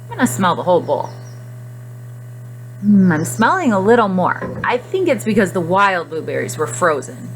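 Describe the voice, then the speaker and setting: Animated woman teaching young children. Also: mid-range voice, moderate pace, soft background music, low-quality recording. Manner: expressive, simple phrasing addressed to children. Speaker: classroom nutrition teacher. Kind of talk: guided story reading and lesson for young children.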